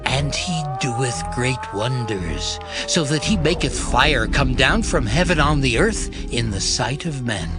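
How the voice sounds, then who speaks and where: A man narrating a dramatized scripture reading in a slow, solemn, deliberate manner. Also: mid-range voice. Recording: music bed underneath.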